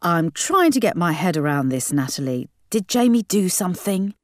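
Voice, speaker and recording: A woman's voice: on the low side, very animated, normal speed, dry recording, clean studio sound. A woman voicing a baffled, placating middle-aged father in an audiobook. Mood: baffled, calming.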